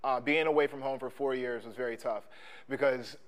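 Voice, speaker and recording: A man co-hosting a podcast, speaking in a casual conversation, halting with many restarts and ums. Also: mid-range voice, steady tone. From Philadelphia. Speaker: podcast co-host; sports coach and trainer.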